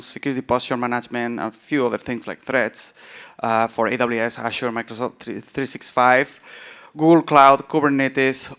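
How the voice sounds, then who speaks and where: A man with a southern Spanish accent speaks as a presenter at a technical conference. His voice is clean and resonant, at a medium pitch. He talks informally and haltingly, often repeating words as he goes. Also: fairly bright tone, clear, resonant tone. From southern Spain. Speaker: conference speaker, software founder.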